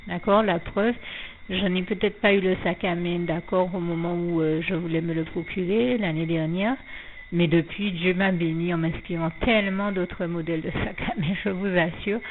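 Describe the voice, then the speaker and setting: A woman with a lowish voice speaks slowly through her faith testimony, full of hesitations, fillers and restarts, with a slight frog in her throat. The sound is thin and tinny like a phone line, with faint noise behind it. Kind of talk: anonymous spoken faith testimony.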